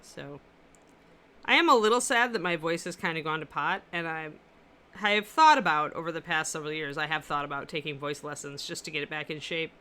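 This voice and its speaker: A woman's voice: sits low, brisk, sharp tone, clean tone. A woman hosting a miniature painting livestream from her apartment, chatting casually and answering viewer comments as she paints, with a powerful voice that carries. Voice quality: powerful, carrying voice.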